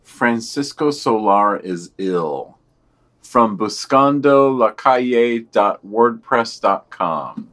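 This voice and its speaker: A man co-hosting a podcast, reading news items in an earnest, measured way. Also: mid-range voice, expressive, a bit bright. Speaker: podcast co-host.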